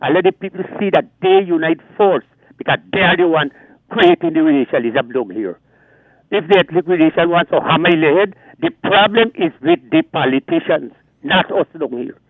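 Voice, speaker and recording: A man's voice: fairly high pitch, moderate pace, no echo. A Guyanese man calling in to a radio show, talking emphatically and pressing on over the host. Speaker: caller. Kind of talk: call-in rant. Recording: tinny phone line.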